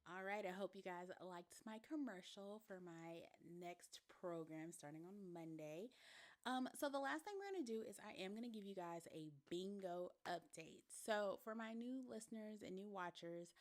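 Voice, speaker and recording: A woman's voice: medium pitch, expressive, normal speed. A woman with a Southern US accent hosting a casual solo podcast, talking in an informal, slangy, storytelling way. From Mississippi, US South. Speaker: podcast host and coach.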